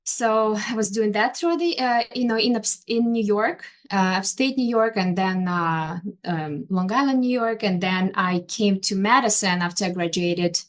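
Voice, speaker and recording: A woman's voice: medium pitch, normal speed, hardly any pauses. Hesitant woman from Ukraine, filler-heavy. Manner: hesitant, many fillers and restarts. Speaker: interior designer and artist. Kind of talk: conversational interview.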